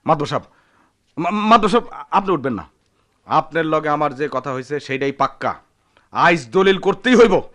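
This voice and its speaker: A man's voice: a little high, animated, normal speed, long pauses, a bit bright, no room sound, clean studio sound. An actor playing an impulsive, lovestruck village man in a radio drama, with a rural Bangladeshi accent and an impassioned, insistent delivery. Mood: angry. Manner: impassioned, pleading, repeats himself. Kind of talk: Bengali radio drama acting.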